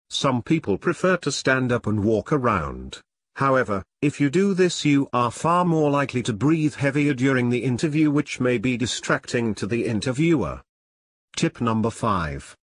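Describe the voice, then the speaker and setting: A man presenting a scripted career advice tutorial in a measured, deliberate and formal way. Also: medium pitch, no room sound. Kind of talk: scripted instructional narration.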